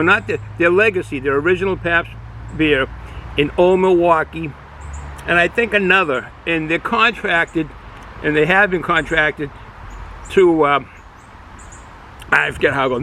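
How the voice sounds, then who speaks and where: A middle-aged man with a Boston accent, casually reviewing a beer outdoors, rambling and halting with many fillers. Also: a little high, pushed and bright, a little hiss or hum, low-quality recording. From Boston area, United States. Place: outdoors, sun in his eyes.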